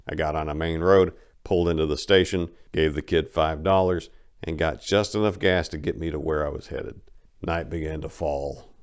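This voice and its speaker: Male narrator for dramatic audiobook, low-pitched, folksy first-person storyteller. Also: a little mellow. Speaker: narrator of a dramatic book reading.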